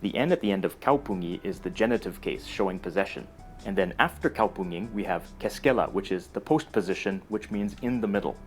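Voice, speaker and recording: Male narrator, scripted educational read, even and explanatory, soft music bed. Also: low-pitched, a little fast. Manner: steady, explanatory delivery. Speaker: narrator of a language explainer video. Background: faint music.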